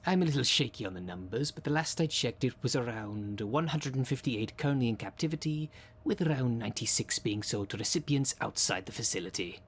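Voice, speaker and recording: A man's voice: medium pitch, lively intonation, a little fast, a little hiss or hum, close to an everyday voice. A man playing a theatrical demon caretaker in an audio roleplay, formal and grandiose, with a dry sardonic salesman's manner. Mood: dry, businesslike. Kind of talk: scripted audio roleplay, addressing the listener.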